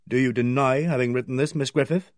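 Man playing a measured, correct policeman. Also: mid-range voice, steady tone, no room sound, studio quality, natural voice. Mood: firm, formal. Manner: measured, formal, polite. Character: quiet, correct, firm police officer. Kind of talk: audiobook character voice.

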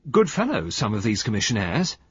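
A man's voice: lowish voice, wildly swooping pitch, brisk, husky, no room sound, dull audio, full character voice. A man doing an affable hearty husband.

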